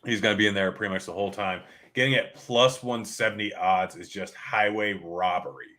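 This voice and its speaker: A man hosting a live sports betting stream, talking through player props and odds in a casual, halting way. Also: fairly low pitch, steady tone, bright, forceful tone. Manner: rattles off betting odds and stats, halting restarts. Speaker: sports betting show host.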